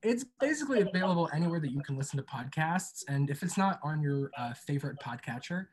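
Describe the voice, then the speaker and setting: A man's voice: on the high side, animated, fast talker. A man speaking as an interview guest in a casual, informal and thoughtful way about his own work. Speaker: podcast creator and guest being interviewed.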